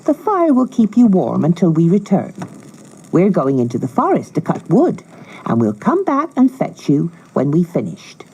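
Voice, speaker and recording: A woman voicing a cruel, scheming stepmother in a storybook, sharp and bossy. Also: lowish voice, huge pitch swings, moderate pace, breathy edge, lo-fi sound, full character voice. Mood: falsely sweet. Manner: sharp, bossy, false sweetness.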